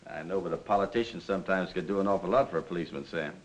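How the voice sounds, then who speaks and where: A man's voice: sits low, very fast, lightly acted. A man playing a smug, scheming political operator in a 1940s crime film, glib and falsely chummy. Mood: oily, insinuating. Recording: noisy old 1940s film soundtrack.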